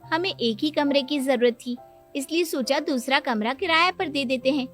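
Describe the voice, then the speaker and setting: A woman's voice: high voice, moderate pace, a bit bright, soft background music. A woman voicing a plaintive, protesting young sister-in-law in a cartoon moral story. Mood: earnest. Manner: protesting, earnest.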